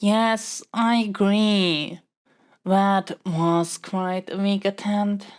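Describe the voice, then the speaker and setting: Audio roleplay role: captive princess, played by a woman, young, female, scheming and stubborn, mid-pitched, very unhurried theatrical delivery. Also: fairly even intonation, clear, resonant tone. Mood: scheming, conceding. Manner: theatrical, formal phrasing with halting pauses. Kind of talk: scripted solo audio roleplay.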